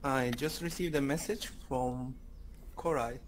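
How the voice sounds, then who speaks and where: A man from Turkey with a medium-pitched voice, talking casually during a virtual meeting. The headset voice chat carries clear background noise. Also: measured pace. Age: adult parent. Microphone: VR headset microphone.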